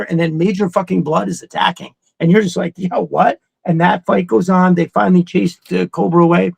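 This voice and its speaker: A middle-aged man co-hosting a casual fan chat, talking in an excitable, rambling, profanity-laced way. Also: high-pitched, gravelly, slightly rough, no room sound. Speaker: podcast co-host.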